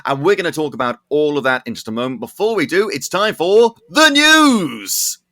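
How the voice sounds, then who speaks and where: A man's voice: fairly high pitch, sing-song, moderate pace, sharp tone, faint music behind. Wry, opinionated male podcast host. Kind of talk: casual comedic entertainment news chat.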